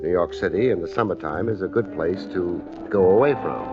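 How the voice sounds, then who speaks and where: A man acting a wry, unflappable private detective with deadpan wit in a 1950s radio drama. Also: low voice, a little fast, music in the background. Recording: dull old radio recording.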